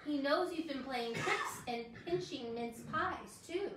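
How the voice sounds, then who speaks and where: Woman, thirties, picture-book reading, lively storyteller, roomy hotel lobby, heard from across the room. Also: sits high, unhurried, crowd noise. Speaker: singer reading a children's book aloud. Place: hotel lobby with Christmas tree and seated guests. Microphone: camera across the room. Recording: roomy echo, voice from a distance.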